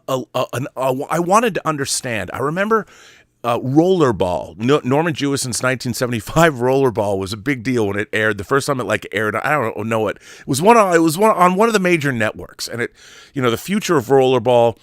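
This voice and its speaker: A man's voice: medium pitch, fairly bright tone, no room sound. Rambling middle-aged American man, close dry sound. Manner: rambling, stammering, repeats words; emphatic, rhetorical questions. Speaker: talk show host. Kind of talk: casual opinionated monologue about films and society.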